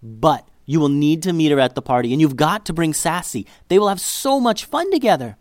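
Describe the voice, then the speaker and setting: A man voicing an excitable, chatty young girl in a children's storybook, gushing breathlessly. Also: mid-range voice, moderate pace, no room sound, studio quality, natural voice. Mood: thrilled, chatty.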